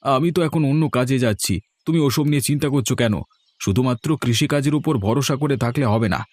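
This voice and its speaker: A man's voice: medium pitch, fast, darkish tone, no echo, natural voice. An older man voicing a worried, dismissive village farmer and uncle. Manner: brushing off, fretful.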